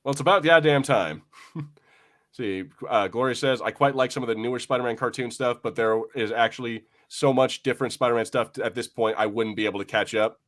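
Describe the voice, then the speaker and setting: A man hosting a casual pop-culture podcast, bantering in an excitable, sweary way. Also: mid-range voice, fairly quick. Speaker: podcast host.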